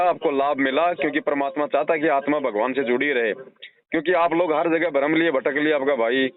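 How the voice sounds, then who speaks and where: A man giving earnest, explanatory religious advice to a devotee, using everyday analogies. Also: on the high side. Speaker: religious counsellor advising a devotee. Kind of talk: devotional advice on a phone call. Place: phone call. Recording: narrow, tinny phone-line recording.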